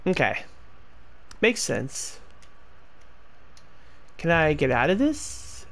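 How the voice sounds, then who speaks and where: A man's voice: a little high, big pitch swings, drawn-out words, a bit bright. A man giving rambling, casual commentary while playing a game. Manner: rambling, talks to himself. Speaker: gameplay streamer.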